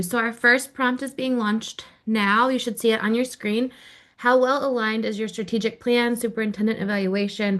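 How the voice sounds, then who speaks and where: A woman facilitating an online webinar, giving clear, practical instructions over a video call. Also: mid-range voice, a bit bright.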